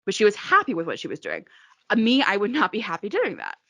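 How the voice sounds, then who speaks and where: A woman's voice: medium pitch, huge pitch swings, fast, sharp tone, dry recording. A woman being interviewed as an author, answering in a rambling casual way full of "like" and restarts, hiccuping now and then.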